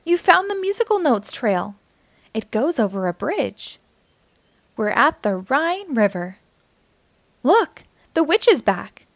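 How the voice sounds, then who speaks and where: Animated high-pitched woman reading to children. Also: slow pace, pauses often, dry recording. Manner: animated, encouraging, addressing young listeners directly. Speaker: children's storytime host. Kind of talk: read-aloud children's story narration.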